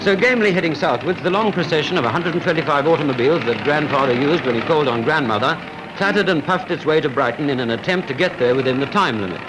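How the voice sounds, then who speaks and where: A man's voice: a little high, fast, background noise. A man reading scripted 1940s sports film commentary in a wry, lightly humorous manner. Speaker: newsreel-style sports narrator. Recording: vintage 1940s film soundtrack, music behind. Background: background music.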